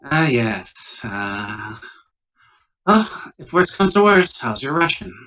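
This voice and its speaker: A man's voice: mid-range voice, very animated, takes it slowly, pushed and bright, heavily acted. A man playing an uneasy, wry civilian scientist in a tabletop roleplaying game, speaking in a hesitant, wordy, sardonic way. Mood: worried. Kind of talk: tabletop roleplaying, improvised dialogue. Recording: distant mic, poor laptop recording.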